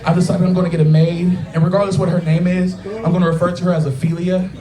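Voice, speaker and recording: A man's voice: sits high, little pitch movement, quick pace, warm tone. A man in his thirties doing a crude, conversational stand-up set at a taproom, often checking in with the crowd. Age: 30s. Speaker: stand-up comedian. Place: taproom stage before a live crowd. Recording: echoey bar room with crowd. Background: audience laughter.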